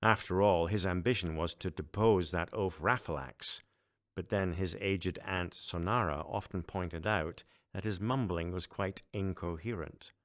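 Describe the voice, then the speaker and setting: Low-voiced man narrating a story. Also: measured pace. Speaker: audiobook narrator.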